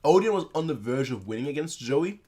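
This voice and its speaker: A man's voice: a little high, lively intonation, fairly quick, warm tone, husky. A young man hosting a reaction video, talking in a loose, rambling way with lots of filler words. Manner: rambling, heavy use of filler words and restarts. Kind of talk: casual rambling chat.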